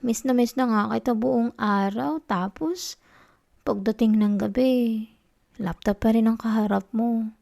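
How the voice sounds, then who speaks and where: A woman acts out an affectionate, sulky Filipino wife in an intimate ASMR roleplay. Her voice is fairly high, soft and mellow. She takes her time, coaxing and teasing with a tender pout. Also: unhurried, gravelly. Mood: pouty, flustered. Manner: soft, coaxing, pouty, slipping into whispers.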